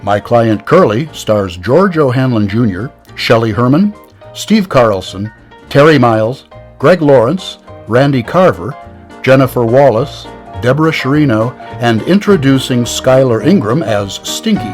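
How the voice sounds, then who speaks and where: A man's voice: mid-range voice, lively intonation, unhurried, soft background music. A man announcing an old-time radio show with mock-formal sponsor patter and scripted credits.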